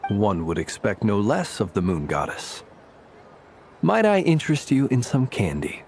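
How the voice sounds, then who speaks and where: Courtly, very animated talking lantern. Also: male voice, on the low side, a little slow, soft background music. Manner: formal, courtly, gracious. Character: courteous, whimsical talking lantern man who sells candy. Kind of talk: theatrical video game voice acting.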